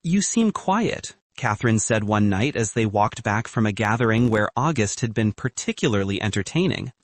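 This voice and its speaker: A man's voice: fairly low pitch, brisk, dry recording. A man reading a scripted story as an audiobook narrator.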